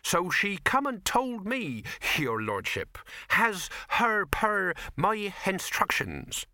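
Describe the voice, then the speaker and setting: A man's voice: sits high, wildly swooping pitch, takes it slowly, pushed and bright, no room sound, clean studio sound, pitched above the performer's own voice, full character voice. A man voicing a pompous female housekeeper with affected, over-genteel speech and misplaced H's, in a storybook reading. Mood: haughty, prim. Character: pompous working-class housekeeper woman putting on airs.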